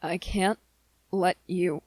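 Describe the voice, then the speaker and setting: A woman voicing a shy, earnest, lovestruck young male werewolf in an ASMR romance roleplay, with halting, hesitant, soft-spoken delivery. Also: lowish voice, fairly even intonation, no room sound. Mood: guilty, pleading.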